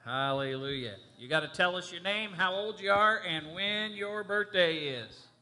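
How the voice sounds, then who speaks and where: A man's voice: high-pitched, unhurried, pushed and bright. A man leading announcements at a church service, cheerfully calling people to come forward. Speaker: service leader. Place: church stage with band. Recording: live church hall with music.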